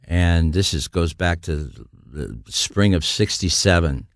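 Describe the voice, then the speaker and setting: A man's voice: deep voice, animated, slow, dry recording, studio quality. An older man from the US Midwest, a guest musician telling long anecdotes with many restarts. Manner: rambling anecdotes, frequent restarts. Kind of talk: storytelling in conversation.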